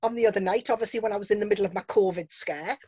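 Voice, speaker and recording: A woman interviewing a songwriter, chatty and lively when she quotes herself. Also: medium pitch, racing, slightly rough, no echo, highs cut off. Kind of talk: casual chat.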